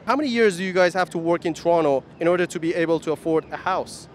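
A young man with a rather high voice works a downtown corner with a handheld mic. He asks polite, quick questions and repeats each answer back. Light traffic hum sits behind him. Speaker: street interviewer. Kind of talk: vox pop street questions. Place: busy downtown street corner outdoors. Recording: traffic noise outdoors.

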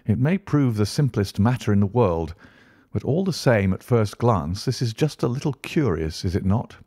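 A man voicing a keen, thoughtful gentleman detective, measured and precise, in an audiobook story. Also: fairly low pitch, very animated, breathy edge, no echo, studio quality. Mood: musing.

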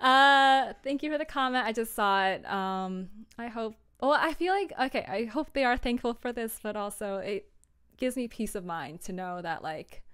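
A young woman with a high, very clear and smooth voice talks casually to her livestream. She comes across as an informal creator sharing advice, giggly and quick to poke fun at herself. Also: expressive, fairly bright tone. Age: young adult. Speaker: streamer and audio drama creator. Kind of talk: casual livestream chat with tips. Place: small home room, indoors.

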